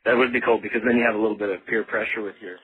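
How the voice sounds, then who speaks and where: A man's voice: on the low side, fairly even intonation, racing, breathy edge. A man giving a casual, plain-spoken, folksy phone interview as a contestant being asked about his experience. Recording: muffled phone line with background noise.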